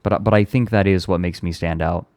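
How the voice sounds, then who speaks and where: A man's voice: deep voice, fairly even intonation, fairly quick, darkish tone, smooth, dry recording. A young man chatting casually on a stream, often stammering and repeating his words. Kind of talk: casual chat.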